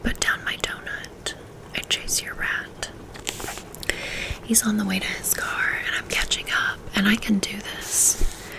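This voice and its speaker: A woman reading a first-person novel aloud in a soft, intimate whisper, in a steady ASMR style. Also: mid-range voice, a little slow, creaky, low-quality recording. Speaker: audiobook reader.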